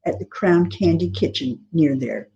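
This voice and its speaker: A woman's voice: low voice, lively intonation, moderate pace, mellow, highs cut off. A woman voicing an insistent, blunt sister in her thirties in a storybook reading. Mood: demanding. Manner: insistent, retorting, forthright. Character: bossy, blunt, restless 32-year-old sister. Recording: clear background noise.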